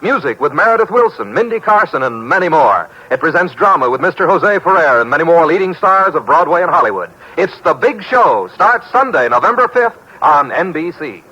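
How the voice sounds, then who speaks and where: A man's voice: on the high side, sing-song, brisk, hardly any pauses, pushed and bright, slightly rough. A man reading closing credits and an upbeat network promo in 1940s radio announcing style. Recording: dull lo-fi 1940s radio broadcast.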